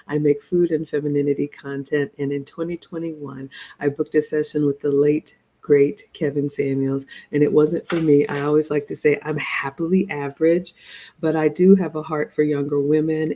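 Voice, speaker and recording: A woman in her forties hosting a reaction webinar, talking in a measured, motherly lecturing way. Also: low voice, warm tone. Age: 40s.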